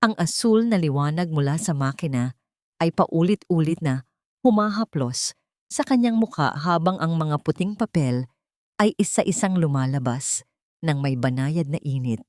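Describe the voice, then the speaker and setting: Woman narrator, Filipino accent, lower-pitched voice, dry close sound, scripted dramatic storytelling. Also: lively intonation, normal speed, a little mellow, no room sound.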